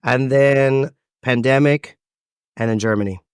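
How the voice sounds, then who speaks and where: A man in his thirties with an American accent, a guest telling his life story with expansive, dramatic pauses. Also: mid-range voice, clear, resonant tone, dry recording, clean studio sound. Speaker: podcast guest, event MC. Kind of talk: storytelling interview answers.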